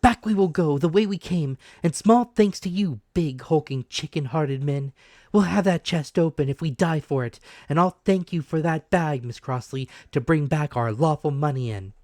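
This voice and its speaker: Storybook innkeeper mother, played by a man: pitched well up, emphatic, determined, scolding, with an anxious edge. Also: normal speed, no echo, studio quality, lightly acted. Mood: defiant, scolding. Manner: emphatic, forceful delivery. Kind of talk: dramatic storybook reading.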